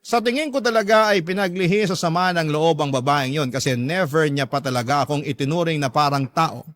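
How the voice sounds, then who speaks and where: A man's voice: a little high, a little fast, fairly bright tone, no echo, studio quality, lightly acted. A man playing an aggrieved young letter writer with a Filipino accent, narrating a story earnestly and emphatically. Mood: exasperated. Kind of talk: dramatic first-person story narration.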